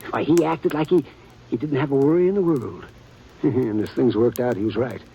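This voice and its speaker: An actor in a 1950s radio western playing a chatty, gossipy old printer with a folksy drawl. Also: male voice, mid-range voice, normal speed, vocal fry, dark tone, slightly rough, low-quality recording. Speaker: small-town newspaper printer. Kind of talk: 1950s radio drama acting.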